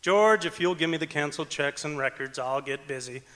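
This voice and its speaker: A man's voice: fairly high pitch, fairly quick, sharp tone. A man playing an affable, chatty, joking tax accountant in old-time radio comedy style. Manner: polite, chatty, jokey. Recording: echoey auditorium stage performance.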